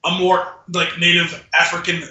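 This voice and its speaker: A man's voice: high-pitched, completely flat delivery, moderate pace, sharp tone. A man co-hosting a podcast, chatting casually about a film in a rambling, conversational way. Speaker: podcast co-host. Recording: echoey room.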